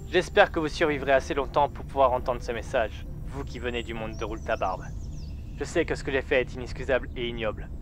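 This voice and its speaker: A man's voice: medium pitch, natural voice. Man playing a solemn, remorseful doomed lieutenant over music. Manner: formal, solemn, confessional. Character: remorseful male lieutenant, doomed last rebel leader. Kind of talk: scripted amateur audio drama monologue.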